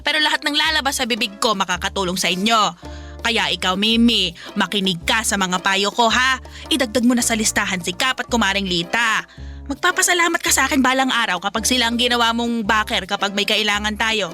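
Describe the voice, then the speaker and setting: A shrill, bossy nagging mother. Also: a little fast, pushed and bright. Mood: bossy, scheming. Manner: bossy, chattering, exclamatory scolding. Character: pushy, nagging middle-aged mother, social climber. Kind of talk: Filipino radio drama acting. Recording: music bed behind the voice.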